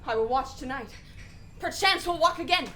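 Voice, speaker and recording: A woman's voice: high voice, lively intonation, heavy background noise. A woman playing a grief-stricken, bitter young prince in anguished Shakespearean verse for a radio play. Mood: intent, questioning. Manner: anguished, drawn-out, exclamatory verse delivery.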